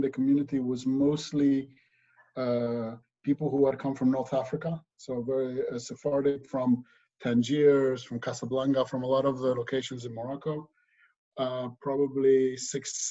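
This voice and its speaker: A middle-aged man from Spain, a guest in a casual remote interview, talking in a relaxed way with many pauses and fillers. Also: mid-range voice. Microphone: video call. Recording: dull lo-fi video call audio.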